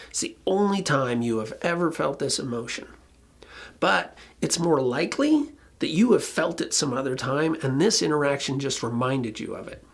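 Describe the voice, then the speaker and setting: A presenter giving an informal explainer talk in a colloquial, emphatic way, with a gravelly, husky voice. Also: mid-range voice. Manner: colloquial, emphatic, occasionally swearing. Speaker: presenter explaining relationship conflict.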